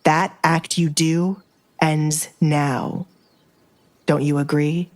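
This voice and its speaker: A woman playing a confident, commanding young duke in an audio drama, imperious and measured. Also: sits low, fairly even intonation.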